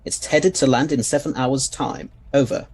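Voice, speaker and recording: Guy playing eccentric gentleman scientist in audio drama, polite n old-fashioned, medium pitch, noisy behind him. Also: moderate pace, close to an everyday voice. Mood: brisk, urgent. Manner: courteous, old-fashioned, erudite phrasing.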